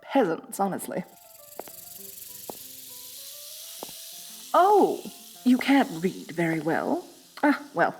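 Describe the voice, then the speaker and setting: A woman's voice: mid-range voice, a little mellow, slightly rough. A woman playing a rich, bossy lamia mistress in a roleplay audio drama, haughty and condescending with affected elegance. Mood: mock pity, disdainful. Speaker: wealthy mistress addressing a new servant.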